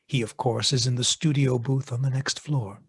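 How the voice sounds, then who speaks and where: A man's voice: medium pitch, steady tone, normal speed, husky. A man playing a smug radio broadcaster under suspicion in an old-time mystery play. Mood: smug, explanatory. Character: smug male radio broadcaster suspected of murder. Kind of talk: golden-age radio mystery acting.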